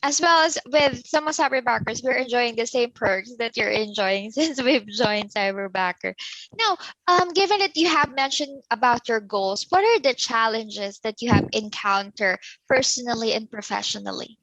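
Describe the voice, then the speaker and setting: A woman's voice: fairly high pitch, normal speed, a bit bright, no room sound, poor audio. A woman interviewer from the Philippines asking a guest a series of questions.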